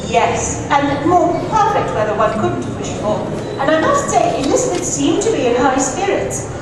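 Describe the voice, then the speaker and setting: A woman's voice: sits high, very animated, normal speed, a loud crowd. A woman playing a fussy, proper aristocratic lady chaperone in a live radio play performance. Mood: recounting. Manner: prim, proper, fretful. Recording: echoey hall from far off, loud audience.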